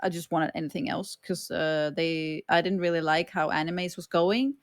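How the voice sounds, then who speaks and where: A woman's voice: on the low side, normal speed, no echo, soft background music. A woman from Sweden with a Swedish accent, a streamer being interviewed, rambling casually with lots of fillers and giggles. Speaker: streamer guest.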